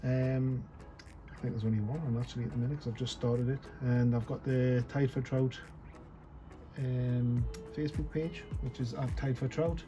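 A chatty man talks through a fly tying tutorial at his desk, joking at his own expense and thinking aloud as he goes. His voice sits at a middling pitch. Music plays clearly underneath. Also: steady tone, moderate pace, highs cut off. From North East England. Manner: chatty, self-deprecating, thinks aloud while working. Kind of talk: casual off-the-cuff tutorial.

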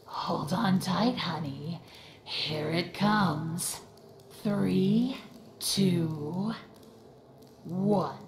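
An actress voicing a sadistic, sickly-sweet female cartoon angel villain with a gravelly edge, in an echoing hall. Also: on the low side, very slow, pauses often, background noise. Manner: sickly-sweet, mocking, calls the listener honey. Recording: echoey reverberant hall, faint ambience.

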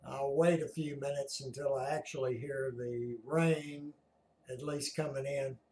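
Older American male hobbyist host, unhurried and halting, recorded a little distant in a roomy small den. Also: mid-range voice, fairly even intonation, mellow, a little hiss or hum. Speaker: amateur radio operator, video host. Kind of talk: casual explanatory chat. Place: small home radio room, indoors.

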